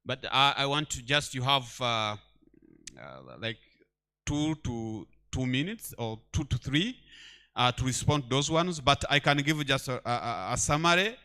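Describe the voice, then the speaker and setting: A man with a bright, forceful voice of middling pitch moderates a conference session. He directs the floor informally, in broken phrases that often trail unfinished. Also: a little slow, clear, resonant tone. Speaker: session chair. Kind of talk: informal moderating of audience questions. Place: conference hall.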